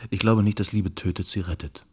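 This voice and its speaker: An actor playing a persistent investigator in a radio drama, calm and insistent, with a husky voice. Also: male voice, sits low, brisk. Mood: calm, persuasive. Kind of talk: German radio drama acting.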